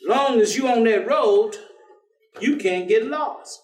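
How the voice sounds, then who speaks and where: An actor in a staged reading playing a devout, folksy, teasing man who talks in a colloquial, drawn-out way. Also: very high-pitched. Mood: teasing, reflective. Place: indoor theatre stage. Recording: roomy theatre, distant microphone.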